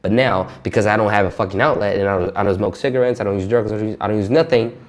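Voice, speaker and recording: A man's voice: on the low side, rapid-fire. Young man venting with a lot of swearing. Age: 20s. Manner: frank and heavy swearing, nervous laughs. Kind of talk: candid personal monologue.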